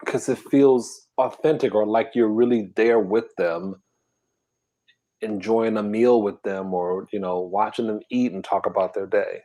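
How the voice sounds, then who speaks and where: Chill guy murmuring soft and quiet on his eating stream, low voice, real laid back. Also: a little slow. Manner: soft, quiet, half-whispered talk; relaxed and drowsy, reading comments aloud. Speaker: ASMR mukbang channel host. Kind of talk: casual live-stream chat while eating.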